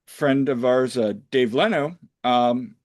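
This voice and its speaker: A man's voice: mid-range voice, slow, darkish tone, dry recording, studio quality. A man from Pennsylvania with an American accent, interviewing casually and conversationally as a podcast host. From United States, Pennsylvania.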